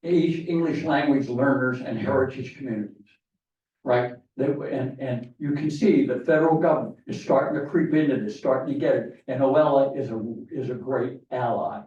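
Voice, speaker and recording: A man's voice: mid-range voice, normal speed, darkish tone. A man giving a rambling conference talk to an audience, with many fillers and restarts. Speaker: conference speaker. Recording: distant echoey hall, dull sound.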